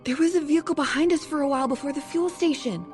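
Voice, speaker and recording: A woman's voice: high voice, quick pace, a little mellow, close to an everyday voice. A woman playing a practical, impatient young female adventurer in an audio drama, telling the story in a sardonic, matter-of-fact way. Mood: tense, practical. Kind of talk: scripted audio drama narration. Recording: music behind the voice.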